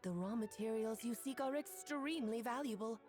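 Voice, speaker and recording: A woman's voice: a little high, normal speed, a little mellow, natural voice. A woman voicing a playful, theatrical aunt who is a powerful mage in a video game, teasing and affectionate. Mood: charmed, composed. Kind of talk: scripted game character acting. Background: game music.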